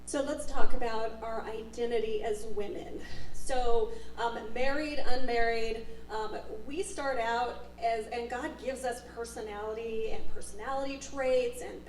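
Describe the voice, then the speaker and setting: A woman's voice: fairly high pitch, fairly even intonation, a little slow, hardly any pauses. A woman moderating a church panel, candid and playful in her talk. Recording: roomy echo, mic at a distance, faint noise.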